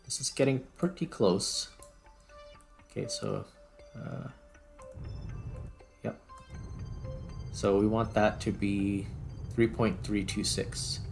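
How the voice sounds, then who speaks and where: A man with a fairly low voice talks through a video game in a casual way, thinking aloud step by step. Music plays behind him. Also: slow, gravelly, long pauses, a little mellow. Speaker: gaming video host. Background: background music.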